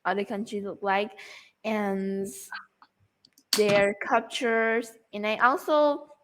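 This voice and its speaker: Slow, hesitant woman. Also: medium pitch, steady tone, slight background noise. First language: Vietnamese. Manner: hesitant, searching for words. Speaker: English learner. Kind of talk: casual conversation lesson.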